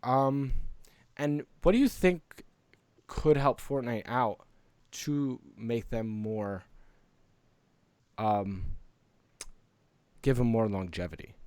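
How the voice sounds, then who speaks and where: A man's voice: mid-range voice, slow, pauses often, darkish tone, smooth, no echo. A man co-hosting a casual gaming podcast in an earnest, rambling way, close to the microphone. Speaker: podcast co-host.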